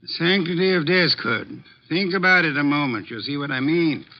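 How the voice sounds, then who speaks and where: An actor playing an imperious, short-winded detective with formal, precise diction in a 1950s radio drama. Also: male voice, a little high, normal speed, close to an everyday voice. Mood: measured, commanding. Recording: dull vintage 1950s radio recording.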